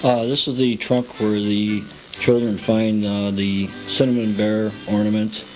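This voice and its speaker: A man's voice: lowish voice, little pitch movement, measured pace, darkish tone, poor audio. A man guiding a tour as an interview guest, telling a story step by step in a matter-of-fact way. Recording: music playing behind the voice.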